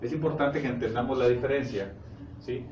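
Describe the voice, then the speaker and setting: Distant muffled recording, clear background noise, man, Mexican accent, preacher, informal teaching style, halting conversational delivery. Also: mid-range voice, a little mellow. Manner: conversational, halting, with rhetorical check questions. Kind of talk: informal sermon, explaining scripture. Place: echoey indoor congregation room. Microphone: distant microphone. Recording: echoey, noisy, muffled room recording from far off.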